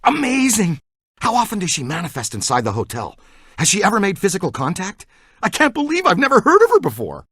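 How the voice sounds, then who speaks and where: An eager, earnest ghost-hunting guy from a video game. His voice is fairly high and very lively, recorded dry and close. He talks in technical jargon and urgent call-outs. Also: a little fast, husky, no echo, full character voice. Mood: excited, fascinated. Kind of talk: video game character dialogue.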